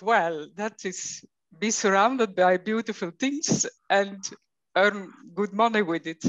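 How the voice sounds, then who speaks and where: A middle-aged Belgian woman with a Flemish accent, speaking English as a coach in a conversational interview, slow and thoughtful with frequent fillers. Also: fairly low pitch, dry recording, lo-fi sound. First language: Dutch.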